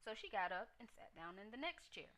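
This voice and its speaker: A woman storyteller giving an expressive narration of a children's tale. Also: medium pitch, a little fast.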